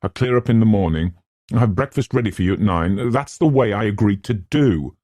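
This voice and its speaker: Man as a cold, forbidding housekeeper woman, raised pitch. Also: a little fast, no echo, clean studio sound. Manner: cold, monotonous recitation.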